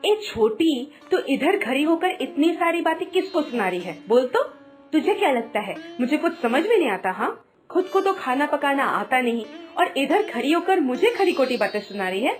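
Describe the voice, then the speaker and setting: Woman acting a high-voiced, bossy elder sister-in-law. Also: sing-song, moderate pace, faint music behind. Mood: scolding, taunting. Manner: scolding, taunting, theatrical. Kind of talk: Hindi animated moral story acting.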